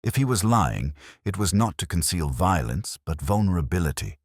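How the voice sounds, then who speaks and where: A man narrating a scripted first-person detective story as a brooding, reflective investigator, in a measured, literary manner. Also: low-pitched, big pitch swings, husky, no room sound, clean studio sound. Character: melancholy, reflective male police detective telling his own case.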